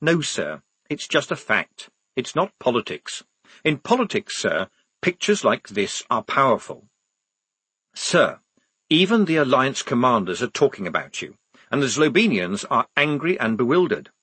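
A slightly nervous newspaper editor, done by a male reader, makes his case persuasively. His husky voice moves up and down lively. The recording is dry and close. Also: mid-range voice, unhurried, dry recording, full character voice.

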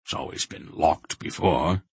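Man playing a wily old sorcerer, low and husky. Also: flat delivery, moderate pace, pushed and bright, dry recording, full character voice. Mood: wary, muttering. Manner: formal, deferential. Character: wily old male sorcerer posing as humble pilgrim.

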